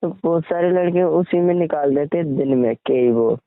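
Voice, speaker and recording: A man's voice: on the high side, normal speed, dry recording. A young man talks in a casual, intimate way, as a boyfriend does on a call. His manner is playful and teasing. The sound is narrow and phone-like, very dry, with no room around it. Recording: muffled, band-limited phone call recording.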